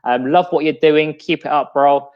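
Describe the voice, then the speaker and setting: A man's voice: on the high side, steady tone, moderate pace, clear, resonant tone. A man in his thirties hosting a live podcast chat, talking in an earnest, reflective, motivational way. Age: 30s. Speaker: podcast host. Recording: dull lo-fi sound.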